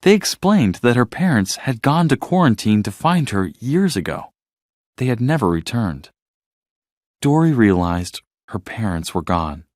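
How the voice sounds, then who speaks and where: Male storybook narrator, highly varied intonation, dry close sound, expressive delivery for young listeners. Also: measured pace, no echo. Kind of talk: scripted bedtime story narration with acted dialogue.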